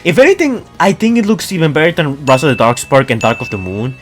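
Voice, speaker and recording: A man talking informally and excitably to his viewers as a gaming news YouTuber, in a casual, enthusiastic commentary style. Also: on the high side, quick pace, soft background music.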